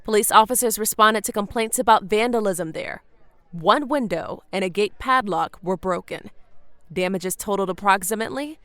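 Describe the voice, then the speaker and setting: A woman playing a composed small-town police chief giving a formal, measured statement in an audio drama, with an American accent. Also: medium pitch, fairly bright tone, lightly acted. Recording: outdoor crowd behind voice.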